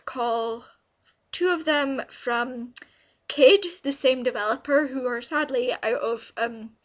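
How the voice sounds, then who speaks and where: A woman's voice: sits high, slow pace, fairly bright tone, lo-fi sound. A young woman chatting casually as she gives a review, in a gushing, informal, rambling way. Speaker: vlogger reviewing visual novels. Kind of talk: casual review chat to camera.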